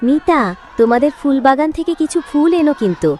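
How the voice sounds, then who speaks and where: Female cartoon schoolteacher played by a woman, high-pitched, kindly and instructive, faint children's bustle behind. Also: expressive, quick pace, warm tone, a faint crowd.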